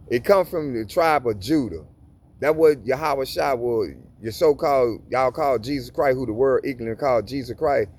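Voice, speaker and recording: A man's voice: fairly high pitch, a little mellow. A man giving informal Bible reading and commentary in a casual preaching manner, often restarting and repeating words. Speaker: Bible teacher.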